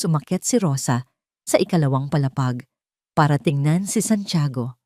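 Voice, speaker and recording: Low-voiced Filipino woman storyteller, close and dry. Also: mellow, no room sound. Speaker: story narrator. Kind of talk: scripted dramatic storytelling narration.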